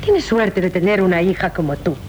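An actress playing a bustling, teasing, protective nursemaid in Spanish radio theatre, wry and familiar, with a Castilian accent. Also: female voice, on the low side, lively intonation, fairly quick, darkish tone, close to an everyday voice. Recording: faintly noisy 1970s radio recording.

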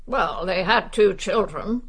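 A woman's voice: medium pitch, takes it slowly, a bit bright, husky, heavily acted. An actress playing a nosy, brusque older English village woman in a radio drama, gossiping wryly. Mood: gossipy.

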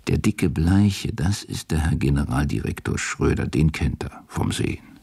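Very deep, gravelly male narrator. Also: a little mellow. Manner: measured, sober. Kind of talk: scripted literary narration for radio drama.

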